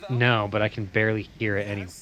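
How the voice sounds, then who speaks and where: A man, a filmmaker, talking in a relaxed, fairly monotone way during a commentary, with plenty of casual fillers. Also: on the low side, racing. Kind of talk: director's commentary chat.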